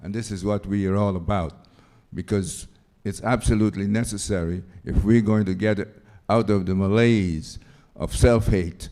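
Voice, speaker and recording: A man introducing himself at a roundtable as a community organization leader, speaking in an earnest, deliberate way. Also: on the low side, warm tone.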